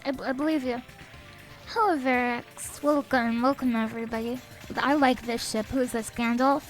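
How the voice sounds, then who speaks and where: A young woman chatting casually on a game stream. She is a little sniffly, speaks softly, and reads out chat messages. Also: fairly high pitch, steady tone, moderate pace, rough recording. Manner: soft-spoken, reads chat aloud. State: sniffly, a bit stuffed up. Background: faint game music.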